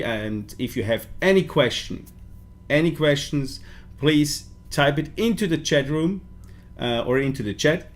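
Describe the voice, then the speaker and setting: A man with a Swiss German accent hosting a live stream, switching between languages, with frequent fillers and restarts. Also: mid-range voice. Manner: hesitant, many fillers and restarts. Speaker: livestream host. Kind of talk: bilingual live stream talk.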